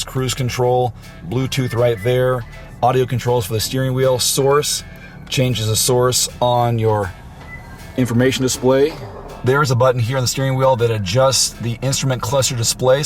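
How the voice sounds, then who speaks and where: A man in his forties who is losing his voice to a cold, casually talking through a car walkaround on a handheld camera, upbeat and thinking aloud. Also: medium pitch. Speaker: car reviewer. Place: outdoors on a street and inside a parked car. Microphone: handheld camera at arm's length.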